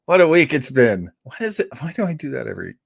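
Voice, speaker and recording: A man's voice: on the high side, very animated, fast, gravelly, loud music behind the voice. A man hosting a casual show, rambling, joking at his own expense and interrupting himself when distracted. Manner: jokey, self-deprecating. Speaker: host. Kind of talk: casual live show chat.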